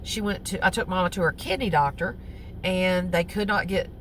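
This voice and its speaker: A woman's voice: on the low side, fairly even intonation, normal speed, a bit bright, a little hiss or hum, poor audio. A woman with a Southern accent, chatting casually to camera as a crochet vlogger, rambling with many ums and self-corrections. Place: outdoors on a lunch break.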